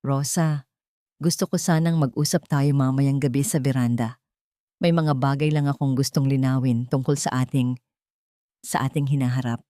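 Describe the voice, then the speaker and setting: A woman's voice: extremely low pitch, fairly even intonation, moderate pace, warm tone, no echo, studio quality, pitched below the performer's own voice. A woman voicing an earnest, devoted father in an audio drama, speaking sincerely and solemnly. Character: David, earnest, devoted adult father and wealthy employer. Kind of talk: Tagalog audio drama dialogue.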